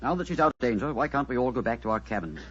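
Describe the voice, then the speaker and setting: A man's voice: medium pitch, animated, racing, husky. A man playing a stuffy, suspicious knight, pompous and huffy, in a 1940s radio drama. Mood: indignant. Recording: dull, lo-fi 1940s radio recording.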